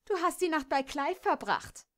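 A woman's voice: high-pitched, lively intonation, moderate pace, fairly bright tone, pitched above the performer's own voice. A woman voicing a spiteful young mare student who speaks bitterly and accusingly.

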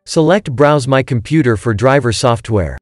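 A man's voice: mid-range voice, expressive, moderate pace, no echo, clean studio sound. A man reading scripted step-by-step instructions in short commands, as a tutorial narrator. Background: background music.

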